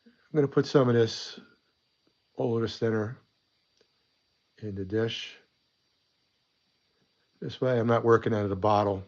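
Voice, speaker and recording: A man's voice: fairly low pitch, fairly even intonation, measured pace, pauses often, darkish tone, lo-fi sound. A man hosting a casual hobby weathering tutorial at his desk, chatty and rambling, a bit stuffy from a coming cold. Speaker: model weathering host.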